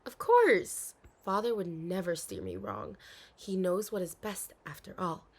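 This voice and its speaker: A woman's voice: on the low side, big pitch swings, unhurried, heavily acted. A woman playing a dutiful, anxious young princess in an intimate audio roleplay, speaking formally with hesitations and sighs. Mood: bright, defensive. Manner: formal storybook diction, hesitant, sighing.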